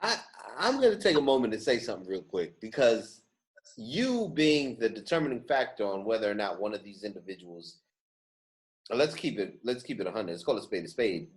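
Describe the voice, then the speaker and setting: Casual, slangy man with lively intonation. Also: on the low side, fairly quick. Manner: slangy, emphatic. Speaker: podcast host. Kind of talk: casual chat.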